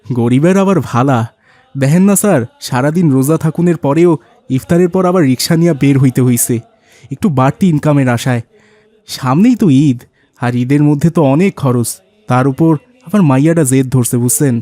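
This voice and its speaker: A man's voice: fairly high pitch, animated, brisk, warm tone, dry recording, natural voice. A man playing a poor, weary, humble rickshaw-puller father in a cartoon, earnest and plaintive, with a colloquial Bangladeshi accent. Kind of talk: cartoon voice acting.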